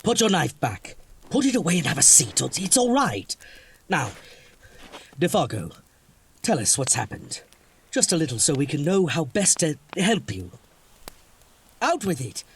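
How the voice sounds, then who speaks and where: An actor playing a genteel older gentleman, formal and reassuring with a nervous edge, in a radio horror drama. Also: fairly high pitch, sing-song, unhurried, pauses often, a little hiss or hum. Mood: alarmed, firm. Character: genteel, soothing older gentleman keeping frightened companions calm.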